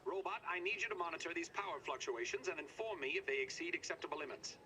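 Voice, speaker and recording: An actor playing an impatient, condescending scientist in a game audio log, curt and commanding with dry pomposity. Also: male voice, a little high, quick pace, sharp tone, slight background noise. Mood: impatient, scornful. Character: impatient, condescending male scientist, dryly sardonic.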